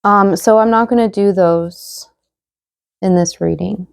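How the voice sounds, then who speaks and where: A young woman giving a casual tarot reading to viewers, chatty and full of fillers, slightly hoarse as she loses her voice. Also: medium pitch, steady tone, unhurried, a little hiss or hum. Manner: chatty, many fillers, reads card messages aloud. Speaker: tarot reader.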